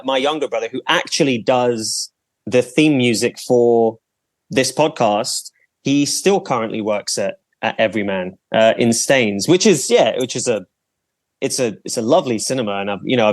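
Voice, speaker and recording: A male podcast host with a middle-pitched voice keeps the talk friendly and chatty, putting questions to a guest and reacting warmly to the answers. He is recorded close and dry, with no room sound. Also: normal speed, no echo. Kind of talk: casual podcast interview.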